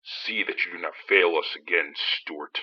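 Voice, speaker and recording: A man plays a stern comic book villain boss. His deep voice is threatening, heard through a muffled recording with slight noise. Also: fairly even intonation, moderate pace, lightly acted. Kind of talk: comic book dub acting. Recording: dull lo-fi, faint noise and clicks.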